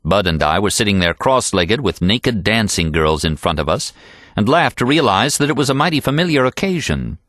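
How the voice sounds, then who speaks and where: A man's voice: on the low side, very animated, moderate pace, a bit bright, no echo, clean studio sound. An American man reading a literary novel aloud as an audiobook narrator.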